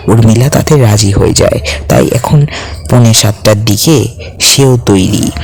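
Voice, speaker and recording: A man's voice: medium pitch, vocal fry, slight background noise. A man hosting and narrating a scripted ghost story in a dramatic storytelling style. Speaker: horror story narrator and host. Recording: faint music bed under voice. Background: soft background music.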